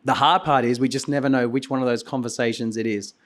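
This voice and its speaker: Hoarse male conference host, mid-pitched, rambling keynote with restarts and coughs, voice going. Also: fairly even intonation, fast talker. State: hoarse, losing his voice. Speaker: conference host and company founder. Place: conference venue.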